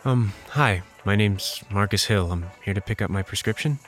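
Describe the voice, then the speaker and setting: A man's voice: on the low side, moderate pace, faint music behind, natural voice. An actor playing a reticent young man in audio drama dialogue, hesitant, curt and politely awkward.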